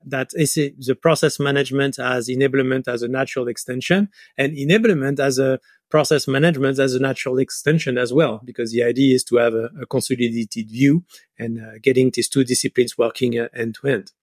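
A man's voice: medium pitch, fairly even intonation, brisk, dry recording, studio quality. A middle-aged man with a French accent giving explanatory answers as a guest in a conversational interview. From France. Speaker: podcast guest, business software advisor.

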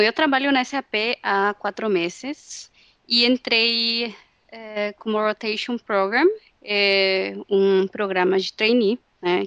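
A woman from Mexico speaking Portuguese with a Mexican Spanish accent, a soft-spoken podcast guest who pauses on fillers. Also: medium pitch, clean tone, no echo, highs cut off. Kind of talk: casual podcast chat.

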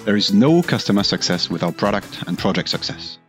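A man hosting a corporate tech podcast, asking questions in a formal, slightly halting way. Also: on the low side, animated, fairly bright tone, soft background music. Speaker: podcast host.

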